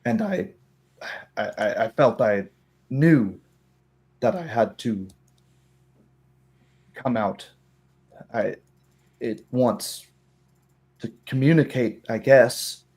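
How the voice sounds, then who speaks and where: A man's voice: mid-range voice, animated, a little mellow, husky, exaggerated voice. Man acting a stammering, shaken castle guard. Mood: dazed, fearful. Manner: stammering, halting.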